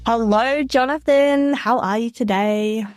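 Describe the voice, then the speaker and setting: A woman's voice: on the high side, measured pace, music in the background. A woman hosting a podcast, introducing a guest and asking questions in a friendly, upbeat way. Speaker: podcast host.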